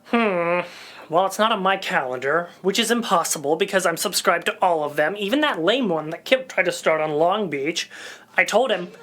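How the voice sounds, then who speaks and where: Geeky, animated store clerk. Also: female voice, lowish voice, moderate pace. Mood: indignant bragging. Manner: pedantic, rambling. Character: geeky, smug, gullible comic-fan electronics store clerk. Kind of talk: audio comedy drama acting.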